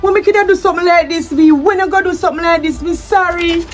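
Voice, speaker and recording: A woman's voice: fairly high pitch, lively intonation, normal speed, dark tone, faint music behind, close to an everyday voice. A woman playing a defensive, anxious wife who keeps repeating her questions. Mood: pleading. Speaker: wife in a domestic drama skit. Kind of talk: improvised-sounding skit acting.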